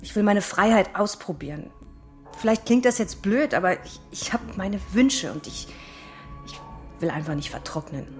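A woman's voice: medium pitch, expressive, normal speed, soft background music, close to an everyday voice. A woman playing a restless, intense young wife who longs for freedom, in a radio drama.

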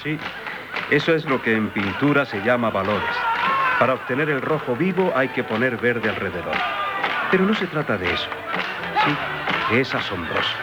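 An actor playing a curious, philosophizing tavern confidant in a 1970s radio drama, musing and questioning. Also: male voice, mid-range voice, very animated, moderate pace, a loud crowd, close to an everyday voice. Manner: musing, inquisitive. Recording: 1978 radio broadcast with music behind.

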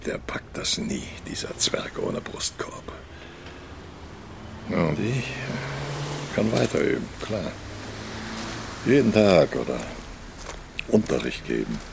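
Gravelly, bitter old opera star. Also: male voice, very animated, whispered, heavily acted. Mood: bitter, resigned. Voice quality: gravelly, low. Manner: muttering, brooding monologue with long pauses. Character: bitter old opera star in his eighties, self-pitying. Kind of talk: audio drama acting.

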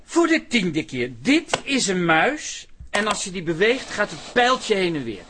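A man's voice: high-pitched, big pitch swings, measured pace, background noise. An actor in a radio play playing an exasperated, dissatisfied man who fancies himself an artist, speaking emphatically. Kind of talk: radio drama acting.

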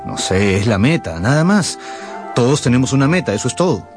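An actor in a radio drama playing a carefree, evasive young gentleman, insistent and persuasive with his friend. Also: mid-range voice, big pitch swings, fairly quick, faint music behind. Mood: brusque, philosophical.

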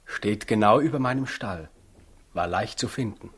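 An actor in a 1960s German radio play, playing a good-natured, modest stable tenant with a husky, matter-of-fact delivery. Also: male voice, on the low side, normal speed, fairly bright tone. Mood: sheepish, friendly.

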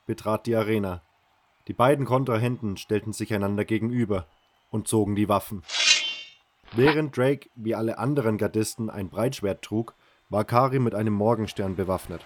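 A man's voice: lowish voice, background noise. A man narrating a scripted audio drama story.

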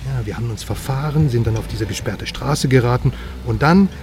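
A man's voice: medium pitch, fairly quick, over music, natural voice. A man playing a detective's chatty, irreverent friend in a crime radio drama, talking in a wry, breezy, colloquial way. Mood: casual, explaining.

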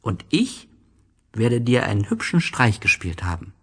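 A man playing a gentle, wistful young boy in a German radio play, speaking slowly, earnestly and tenderly. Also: mid-range voice, huge pitch swings, slightly rough, heavily acted. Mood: consoling, playful. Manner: slow, earnest, tender, simple phrasing.

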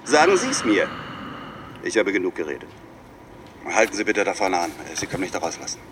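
A man's voice: low voice, very animated, a little fast. A man in a German radio drama playing a middle-aged lawyer who speaks in a pompous, rhetorical, self-important manner. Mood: declaiming. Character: pompous, eloquent lawyer championing old Prussia. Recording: clear background noise in a moving car. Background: vehicle noise.

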